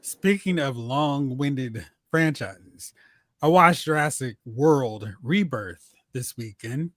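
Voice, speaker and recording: A man's voice: medium pitch, animated, slow, a bit bright, no room sound, clean studio sound. A man hosting a movie talk show, chatting casually and jokingly about films and recapping news.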